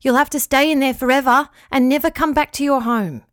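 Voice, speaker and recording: A woman's voice: high voice, fairly even intonation, fairly quick, no room sound, studio quality, pitched above the performer's own voice, lightly acted. Shaggles, woman doing a caring creature. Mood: stern. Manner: kindly but firm, gurgling. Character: gentle, caring male water creature, friend to fish.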